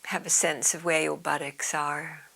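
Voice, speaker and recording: A woman yoga teacher leading a guided meditation in a soft, soothing, half-whispered way. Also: sits low, flat delivery, unhurried, creaky.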